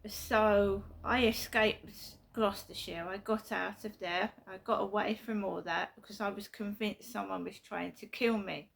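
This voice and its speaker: Gravelly middle-aged woman. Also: mid-range voice, fairly even intonation, a little slow, few pauses. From South London, England. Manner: repetitive, emphatic, earnest storytelling. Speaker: vlogger. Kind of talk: casual personal vlog. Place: her flat at night.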